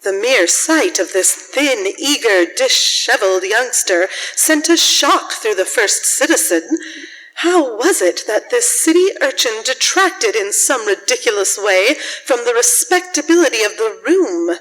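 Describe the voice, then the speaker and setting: A woman narrating a radio drama story in a measured, literary manner. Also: a little high, big pitch swings, a bit bright. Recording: echoey hall with long reverb.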